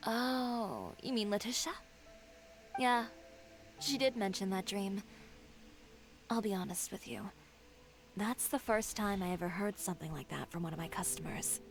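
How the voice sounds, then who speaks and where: A woman's voice: medium pitch, a little slow, creaky, long pauses. An actress playing an assertive, poised young female fashion designer in a video game, telling things in a self-assured way. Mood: reflective.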